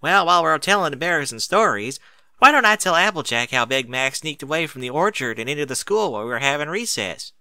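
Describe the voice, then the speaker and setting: A man voicing a cheeky, excitable little girl pony who blurts things out childishly in a storybook reading. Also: on the high side, quick pace, dry recording, voice pushed up, exaggerated voice. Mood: defensive.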